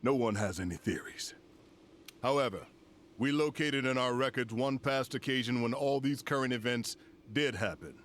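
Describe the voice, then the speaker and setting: An actor playing a grave older general in a video game cutscene, speaking formally and with solemn, measured weight. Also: male voice, mid-range voice, a bit bright, a little hiss or hum, lightly acted.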